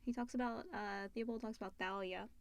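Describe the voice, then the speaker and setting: A woman's voice: medium pitch, fairly even intonation, a little fast, vocal fry. A woman co-hosting a casual chat, talking and reading out questions. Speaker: co-host reading listener questions.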